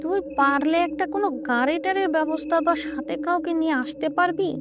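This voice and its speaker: A woman's voice: extremely high pitch, brisk, over music, voice pushed up. A woman voicing an anxious, pleading older brother in a cartoon horror story. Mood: worried. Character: anxious older brother stranded alone at night.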